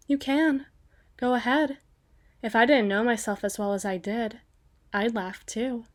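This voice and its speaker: A slightly high-pitched vampire girl, acted by a woman in an ASMR roleplay. She is proper and ladylike but cocky, with a teasing, chatty, protective way of talking. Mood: prim, teasing. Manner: playfully prim, teasing, with drawn-out phrases and asides.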